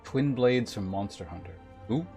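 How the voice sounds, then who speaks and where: A man's voice: mid-range voice, animated, moderate pace, mellow. A man livestreaming a game, chatting informally as he thinks aloud and reads game text. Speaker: game streamer. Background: game music.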